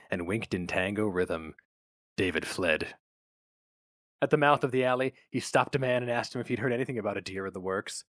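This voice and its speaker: A man's voice: fairly low pitch, fairly bright tone, dry recording, clean studio sound. A man reading a story aloud as its narrator in a dramatic, scripted style.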